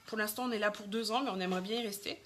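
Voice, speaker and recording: A woman's voice: mid-range voice, steady tone, very fast, a bit bright, slight background noise. A woman from France in her thirties with a French accent, chatting casually on a makeup live stream and greeting viewers. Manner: chatty, lots of fillers, greets viewers while doing makeup.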